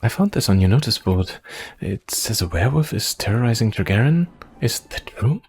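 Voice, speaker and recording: A man voicing a nervous, lively young forest adventurer. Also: on the low side, normal speed, husky, full character voice. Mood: curious. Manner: nervous, hesitant stammering and rambling. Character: anxious, rambling, self-doubting young forest-dwelling adventurer. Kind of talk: visual novel character read-aloud. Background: music.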